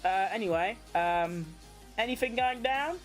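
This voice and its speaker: A woman's voice: on the high side, unhurried, soft background music, low-quality recording. A woman hosting a watchalong, giving casual live motorsport commentary in an informal, slangy way, as if thinking aloud. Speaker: livestream watchalong host.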